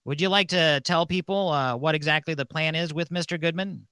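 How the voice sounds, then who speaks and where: A man's voice: a little high, steady tone, fairly quick, pushed and bright, clean tone, no echo. A man casually hosting a comedic ceremony on a livestream. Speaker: host of a comedic mock-church livestream.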